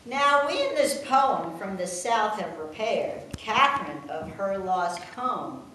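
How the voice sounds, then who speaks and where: An older woman reading a rhyming tribute poem aloud with a measured, sing-song delivery. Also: mid-range voice, lively intonation, sharp tone. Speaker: family member reading her own poem. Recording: echoey room, distant mic, faint noise.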